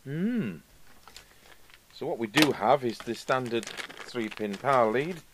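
A man's voice: mid-range voice, animated, slow, background noise. A middle-aged man giving casual hands-on repair commentary, musing aloud to himself as he works. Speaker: radio repair video host. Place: indoor workbench, overhead camera.

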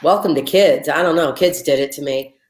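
A woman in her fifties with a lower voice, hosting a casual live chat from her kitchen over an earbud mic, earnest and chatty, often restarting her sentences. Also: a little fast, darkish tone, echoey room. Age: 50s. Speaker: host. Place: home kitchen. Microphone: earbud headset on a video call.

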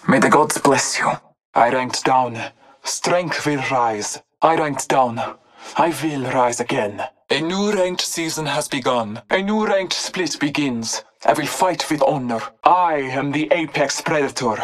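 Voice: medium pitch, natural voice. An actor voicing a solemn, honorable hunter devoted to Norse gods in a video game, speaking in formal, ceremonious phrases. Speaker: playable game character. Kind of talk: video game voice lines, short barks and proclamations.

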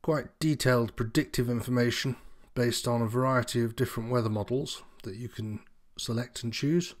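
A man's voice: mid-range voice, normal speed. A man giving an informal, unscripted walkthrough as an instructor, explaining things in a slightly hesitant, meandering way. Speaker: sailing instructor presenting tutorial.

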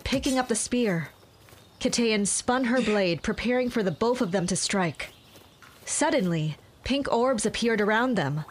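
A woman's voice: medium pitch, background noise, close to an everyday voice. A woman narrating a fantasy audio drama as a composed storyteller, reading scripted action.

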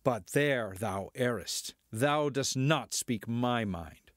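An actor playing a cocky, boastful starship pilot, swaggering through archaic verse in an audio drama. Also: male voice, mid-range voice, slow pace, no room sound, studio quality, natural voice.

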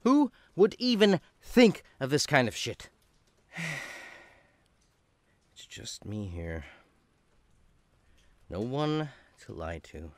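A man's voice: medium pitch, huge pitch swings, slow, pauses often, dry recording, full character voice. A man playing a sneering lonely rich man. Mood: bitter. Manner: sneering, bitter, theatrical. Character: arrogant, lonely, contemptuous wealthy man. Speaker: rich executive character. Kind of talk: radio drama acting.

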